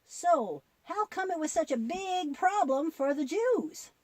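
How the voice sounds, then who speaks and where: A woman's voice: very high-pitched, animated, slow pace, a little mellow, dry recording, voice pushed up, full character voice. A woman voicing a goofy, curious little boy puppet in a children's puppet show, wide-eyed and full of questions and exclamations.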